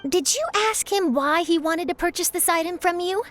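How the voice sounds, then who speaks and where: A woman's voice: very high-pitched, normal speed, fairly bright tone, soft background music. A woman playing a shrewd young journalist in a video game, articulate and persistent, questioning in a teasing, pointed way. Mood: probing. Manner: probing, playfully pointed, articulate.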